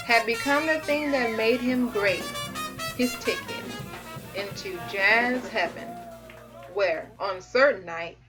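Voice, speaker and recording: A woman reading a children's picture book aloud in an expressive, playful, theatrical way with dramatic pauses. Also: on the high side, low-quality recording. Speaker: storybook reader.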